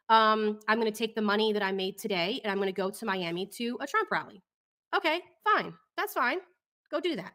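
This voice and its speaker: Sarcastic woman commentator, bright tone. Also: medium pitch. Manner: sarcastic, skeptical, drawn-out. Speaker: commentary channel host. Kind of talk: casual commentary over clips.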